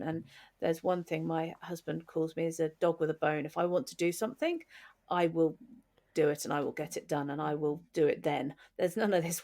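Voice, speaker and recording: English woman in her forties, podcast co-host, low gravelly voice, candid and reflective storytelling. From England. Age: 40s. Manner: reflective, candid, restarting phrases. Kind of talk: personal storytelling in conversation.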